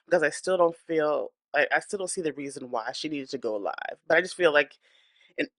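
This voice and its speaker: A woman's voice: sits low, a little fast, no echo, clean studio sound. A woman hosting a celebrity gossip channel, speaking in a blunt, opinionated, chatty way while congested from a cold and breathing hard. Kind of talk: casual celebrity gossip commentary.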